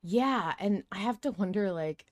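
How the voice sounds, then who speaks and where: A woman's voice: medium pitch, lively intonation, measured pace, no echo, studio quality. A woman hosting a podcast, chatting casually and musing informally about a story. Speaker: podcast host. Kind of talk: casual discussion of a fiction podcast.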